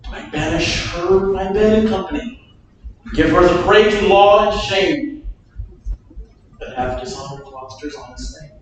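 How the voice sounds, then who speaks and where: A man's voice: high voice, a little slow, pauses often, a crowd in the background. A man playing a wounded loyal nobleman. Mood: grave. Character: loyal nobleman wounded by his wife's disgrace. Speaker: actor in a staged reading. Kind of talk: Shakespeare staged reading. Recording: echoey room, far from the mic.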